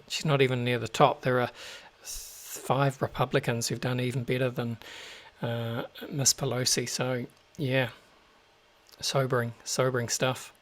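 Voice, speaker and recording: A man with a New Zealand accent hosting a show, talking casually to listeners with lots of fillers and restarts. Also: mid-range voice. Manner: chatty, many fillers and restarts. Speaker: host.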